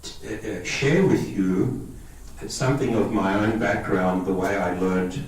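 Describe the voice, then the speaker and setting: A man's voice: lowish voice, steady tone, a little slow, far from the mic. Greek-born man talking in an echoey hall. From Australia. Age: 50s. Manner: conversational, checks in with listeners. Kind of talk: public talk to a lay audience.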